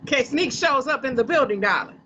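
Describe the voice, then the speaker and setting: A man's voice: extremely high pitch, lively intonation, unhurried, pushed and bright. A man co-hosting a podcast in casual chat. He agrees readily, jokes along, and chimes in with short replies. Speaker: podcast co-host. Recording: dull, slightly lo-fi sound with faint noise.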